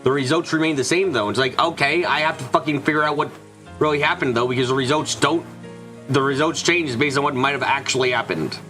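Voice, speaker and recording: A man's voice: medium pitch, brisk, over music. A man giving casual gaming commentary as a streamer, with a blunt, sweary way of talking. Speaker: let's play streamer.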